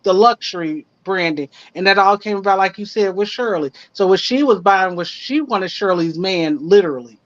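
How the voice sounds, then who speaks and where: A woman's voice: mid-range voice, moderate pace, few pauses. A woman panelist chatting casually and gossiping on a livestream. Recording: slightly muffled livestream audio.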